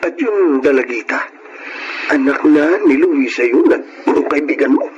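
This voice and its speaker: A man's voice: fairly high pitch, animated, unhurried. An actor with a Filipino accent playing an inquisitive, teasing male friend in a radio drama, chummy in manner. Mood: curious, surprised. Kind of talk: Tagalog radio drama acting. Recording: muffled, noisy radio drama track.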